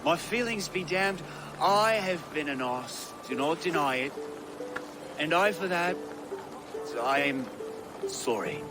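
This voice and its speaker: A tipsy, earnest young nobleman in a medieval game drama. His high male voice swings theatrically and dawdles very slowly, with music underneath. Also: animated. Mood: tipsy, contrite. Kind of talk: video game cutscene acting.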